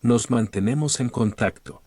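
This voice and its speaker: A man's voice: medium pitch, normal speed, dry recording. A man reading Spanish phrases one at a time for learners, carefully and evenly. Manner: careful, even delivery for repetition. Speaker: Spanish phrase reader in a lesson.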